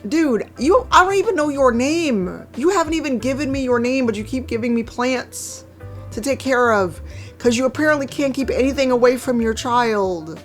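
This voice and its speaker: A woman streaming a game, chatting casually with a hoarse, croaky voice and a wry, chatty manner. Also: high-pitched, lively intonation, normal speed, soft background music. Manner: chatty, wry, reads chat aloud. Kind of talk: casual live-stream chat while gaming.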